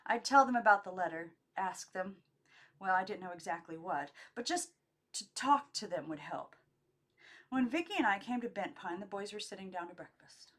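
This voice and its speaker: A woman narrating a memoir as an amateur audiobook reader, with expressive, emphatic storytelling phrasing. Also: medium pitch, normal speed.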